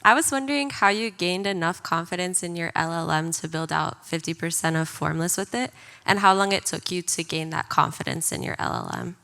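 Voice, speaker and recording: Tentative woman with a crisp bright voice. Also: fairly low pitch, brisk. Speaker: audience member asking a question. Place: conference hall audience.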